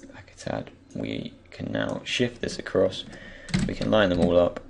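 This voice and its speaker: A young man with a croaky voice, just woken up, thinking aloud with many pauses as he talks through a software tutorial. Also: deep voice, sing-song, noisy background. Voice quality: croaky, gravelly. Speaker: tutorial creator. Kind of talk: screen-recorded software tutorial.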